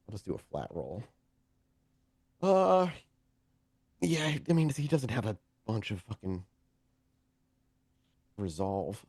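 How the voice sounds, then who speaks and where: A man's voice: fairly low pitch, no room sound. A man narrating a tabletop game as game master, improvising with plenty of profanity. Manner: profane, animated storytelling.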